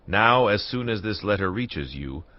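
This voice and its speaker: A man's voice: fairly low pitch, lively intonation, moderate pace, close to an everyday voice. An actor playing Jehu, a fierce and commanding warrior king, declaiming sternly in a dramatised audio Bible. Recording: dull lo-fi audio with music.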